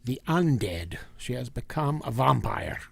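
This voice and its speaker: Man playing gravelly, solemn vampire-hunting professor. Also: medium pitch, lively intonation. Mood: insistent. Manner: solemn, emphatic, deliberate, with dramatic pauses. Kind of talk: vintage radio drama acting.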